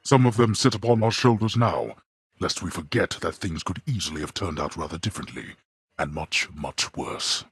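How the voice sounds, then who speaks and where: An actor playing a stern, proud, older god of the underworld in a video game, speaking formally in a husky voice with brusque, lecturing replies. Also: male voice, sits low, normal speed, no echo, studio quality, full character voice. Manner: stiff, lecturing, clipped and brusque. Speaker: game character.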